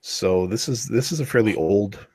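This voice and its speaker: Male podcast co-host, low-pitched, meandering casual delivery. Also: normal speed, a little mellow, no echo. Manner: rambling, self-correcting. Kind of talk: casual chat.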